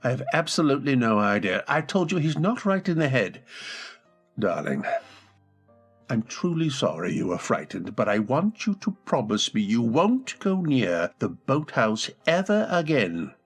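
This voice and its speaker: An actor playing a secretive, short-tempered husband in an old-time radio drama, snapping in anger and then turning tender and apologetic. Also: male voice, mid-range voice, sing-song, measured pace, slightly rough, faint music behind, heavily acted. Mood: uneasy. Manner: abrupt angry outbursts softening into tender apology. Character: brooding, secretive, volatile English gentleman husband. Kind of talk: 1940s radio acting.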